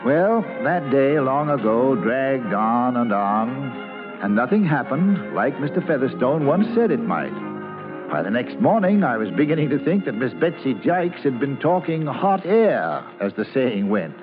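A folksy man reminisces wryly, with lively intonation. It sounds like vintage radio narration, tinny and with music clearly behind him. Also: mid-range voice, unhurried. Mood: wry, reminiscent. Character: folksy man wryly recalling his boyhood. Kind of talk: old-time radio drama narration.

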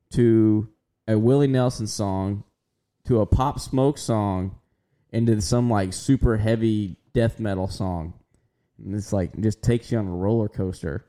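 A man's voice: fairly low pitch, dark tone. A young man co-hosting a podcast, chatting casually and rambling with a laid-back manner. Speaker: podcast co-host. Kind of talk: casual chat.

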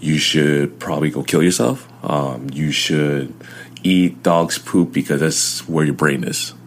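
A young man from Southern California whose first languages were Chinese and Vietnamese, telling his life story haltingly as an interview guest. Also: rumbling low. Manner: plain, halting storytelling with many fillers. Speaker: guest, CrossFit coach.

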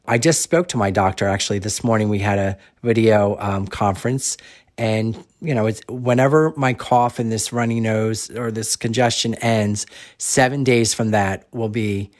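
Low-voiced man recounting his illness. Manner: halting, earnest retelling. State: lingering cough and head cold. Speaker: interviewee, recovered patient. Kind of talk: recorded interview clip.

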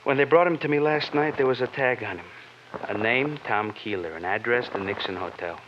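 A man acts out a morbid, philosophical medical examiner in a vintage radio drama. He speaks at a middling pitch, halting and solemn, repeating himself for emphasis. The old recording is dull with clear noise. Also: close to an everyday voice. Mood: solemn, resigned. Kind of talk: 1950s radio drama acting.

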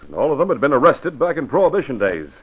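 An actor playing a matter-of-fact, authoritative police commissioner in an old radio drama. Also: male voice, mid-range voice, sing-song, fast talker, husky. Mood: businesslike. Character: businesslike, confiding male police commissioner. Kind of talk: 1940s radio drama acting. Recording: tinny old radio recording.

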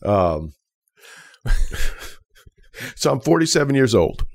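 A man's voice: mid-range voice, big pitch swings, slow pace, vocal fry, darkish tone. A man in his forties co-hosting a personal finance podcast, explaining retirement saving in an easygoing, good-humored way. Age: 40s. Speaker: financial advisor and podcast co-host. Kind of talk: casual financial podcast chat.

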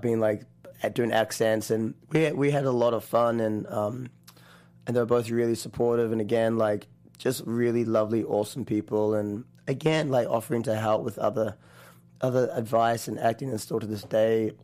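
A man with a New Zealand accent, a choreographer telling stories as an interview guest, animated, laughing often and restarting his sentences. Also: fairly low pitch. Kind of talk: casual interview chat.

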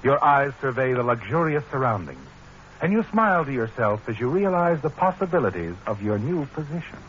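An actor playing a knowing, sardonic narrator of a 1940s radio mystery, in a polished, insinuating, dramatic manner. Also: male voice, mid-range voice, sing-song, fairly quick, a bit bright, a little hiss or hum. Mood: sly, knowing. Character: knowing, sardonic male narrator addressing the schemer he watches. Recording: muffled old radio, music behind.

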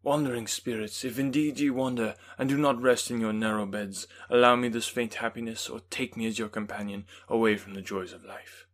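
A man voicing a furious young male scientist who bursts into loud, declamatory outbursts. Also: mid-range voice, fairly even intonation, a little fast, few pauses, natural voice. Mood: rapturous. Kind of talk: dramatic audiobook reading.

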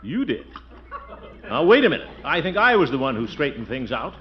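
An actor playing a blustering, boastful middle-aged bachelor uncle in a 1940s radio comedy, chuckling and theatrical. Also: male voice, big pitch swings, moderate pace, lightly acted. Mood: indignant. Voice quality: booming, chuckling. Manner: theatrical, big swings of pitch. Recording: dull vintage radio broadcast.

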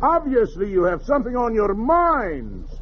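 A man's voice: sits high, wildly swooping pitch, measured pace, heavily acted. A man playing a pompous, formal psychiatrist in a 1940s radio comedy, fond of long words and easily exasperated. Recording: muffled vintage radio recording, noisy audience.

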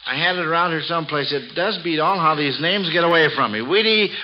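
A man's voice: fairly high pitch, brisk, slight background noise. A man hosting an old-time radio quiz for children, with a folksy, avuncular, exclaiming way of talking. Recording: dull vintage radio broadcast.

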